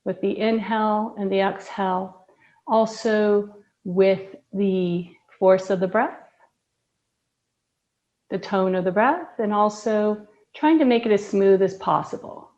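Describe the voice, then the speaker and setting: A woman teaching yoga, softly and soothingly guiding a breathing meditation with long pauses. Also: mid-range voice, steady tone, dull audio. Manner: slow, soft, soothing guidance with long pauses.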